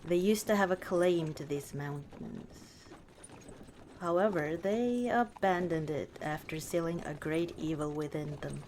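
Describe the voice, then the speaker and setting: A woman playing a warm, teasing orc chieftain wife in an unhurried, dryly dismissive ASMR roleplay monologue. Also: low voice, slight background noise. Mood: matter-of-fact.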